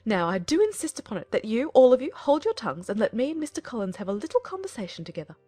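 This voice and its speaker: A woman's voice: fairly high pitch, sing-song, fast talker, warm tone, no echo, faint music behind. A woman playing a self-pitying, nagging middle-aged mother in a dramatized audiobook, theatrical and insistent.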